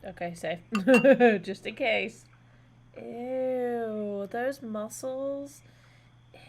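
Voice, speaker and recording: A woman's voice: fairly high pitch, extremely slow, gravelly, slight background noise. A woman live streamer giving casual gameplay commentary in a blunt, sweary way.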